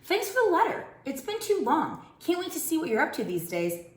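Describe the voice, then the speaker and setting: A woman's voice: high-pitched, huge pitch swings, brisk, voice pushed up. Woman voicing an eager, chirpy storybook squirrel. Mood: cheerful, eager. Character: friendly, eager male squirrel writing cheerful letters. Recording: roomy, echoing indoor sound.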